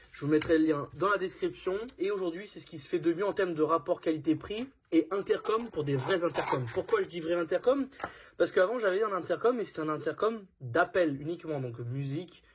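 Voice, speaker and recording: A man's voice: high-pitched, slightly rough. A man presenting a product in a casual hands-on tutorial, informal and thinking aloud as he goes.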